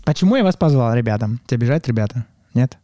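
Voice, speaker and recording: A man's voice: mid-range voice, lively intonation, very fast, music in the background. A man from Russia hosting a casual podcast interview in an informal, joking way, with occasional swearing. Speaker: podcast host.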